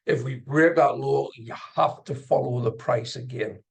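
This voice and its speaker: Husky, gravelly-voiced man talking through screen work. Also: mid-range voice, unhurried. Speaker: trading instructor and video host. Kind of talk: casual live screen-share commentary on chart trading.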